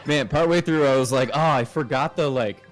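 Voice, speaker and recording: A man's voice: mid-range voice, fairly quick, soft background music. A man co-hosting a game livestream, chatting casually close to his mic on a voice call. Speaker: livestream co-host. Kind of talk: casual game stream chat.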